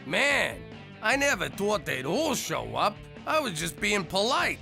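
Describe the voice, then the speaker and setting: A man's voice: high-pitched, big pitch swings, a little slow, creaky, over music, exaggerated voice. A man voicing a hapless, guilt-ridden mob man in a cartoon, with a mumbly, self-pitying, wisecracking way of talking. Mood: glum. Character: hapless, guilt-ridden middle-aged mob man, kicked out by his wife. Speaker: main character. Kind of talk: animated sitcom voice acting.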